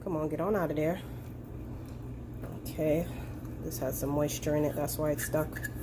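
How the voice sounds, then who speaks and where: A woman's voice: deep voice, normal speed, background noise. Chatty woman chef with a stuffy, nasal cold. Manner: chatty, relaxed, many ums. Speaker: private chef. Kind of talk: home cooking demonstration. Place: home kitchen. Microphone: handheld phone camera.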